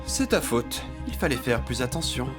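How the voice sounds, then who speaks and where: A man's voice: mid-range voice, sing-song, moderate pace, natural voice. A man playing a cocky, scheming young gamer brother in an anime fan dub, theatrical and given to melodrama. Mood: smug, scheming. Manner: theatrical, swinging from smug to frantic. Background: background music.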